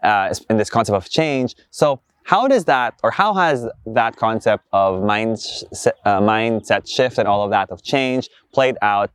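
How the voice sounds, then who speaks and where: A man's voice: on the low side, lively intonation, unhurried, hardly any pauses, clear, resonant tone, no room sound, clean studio sound. A young man hosting a casual in-person interview in a chatty, conversational way. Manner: chatty, frequent laughter. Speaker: host, interviewer. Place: outdoors, in person.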